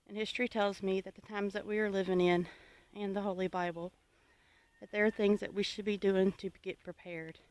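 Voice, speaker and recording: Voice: mid-range voice, flat delivery, a little fast, no echo. Plainspoken homesteader from the United States, dry sound. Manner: earnest, plainspoken, advisory. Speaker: homesteading vlogger. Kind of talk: informal reflective monologue to viewers.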